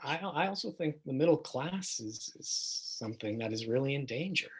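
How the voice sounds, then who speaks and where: A man performs a concerned man in a documentary play. His voice is husky and medium in pitch. He speaks in a measured way, as one of a discussion group. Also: expressive.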